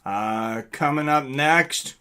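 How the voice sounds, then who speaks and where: A man hosting a live fight watch-along stream, commenting casually and talking directly to viewers in chat, often clearing his throat. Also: mid-range voice, slow pace, pushed and bright.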